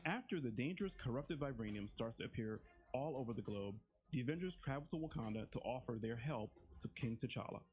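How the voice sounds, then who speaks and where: A man's voice: medium pitch, expressive, fairly quick, darkish tone. A man giving a scripted promotional presentation as a video game writer. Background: background music.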